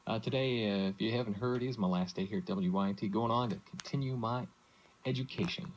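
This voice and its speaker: A man's voice: lowish voice, a little fast, a little hiss or hum. A young American man working as a radio disc jockey with casual announcing and banter, heard on an old lo-fi aircheck. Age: young adult.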